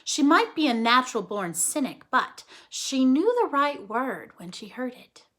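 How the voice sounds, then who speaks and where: A woman reading a children's story aloud as a storyteller, with dramatic, deliberate phrasing. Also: high voice, sing-song, a bit bright. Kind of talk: expressive children's story narration.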